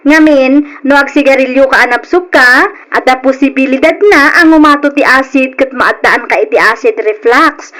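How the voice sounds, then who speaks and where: High-voiced, earnest, caring daughter. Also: female voice, fairly bright tone, poor audio. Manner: earnest, explaining. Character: earnest, caring adult daughter and younger sister, gently bossy. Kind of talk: radio drama acting.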